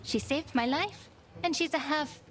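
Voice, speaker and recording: A woman voicing a composed, guarded young woman in a game, speaking in a dry, even way. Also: high voice, a little slow. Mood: calm. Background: game music.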